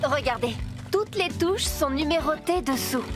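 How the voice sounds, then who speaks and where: A woman's voice: sits high, lively intonation, measured pace. An actress voicing a sensible, encouraging woman builder in a French children's cartoon, patient and explanatory. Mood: reassuring, patient. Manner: explanatory, reassuring. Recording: music behind the voice.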